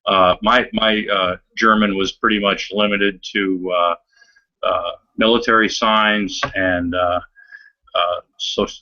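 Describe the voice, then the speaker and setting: An older man in casual chat over a video call, halting, with many fillers and chuckling asides. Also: sits low, level tone, pushed and bright. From United States. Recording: dull lo-fi online call audio.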